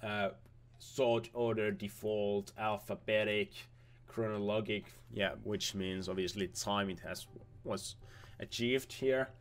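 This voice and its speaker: Slightly hoarse young man rambling over gameplay. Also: lowish voice, fairly even intonation, slow. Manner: rambling, repeats "obviously" and "nothing special", restarts words. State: slightly hoarse, losing his voice. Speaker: gaming video host. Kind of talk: casual gameplay commentary over screen footage.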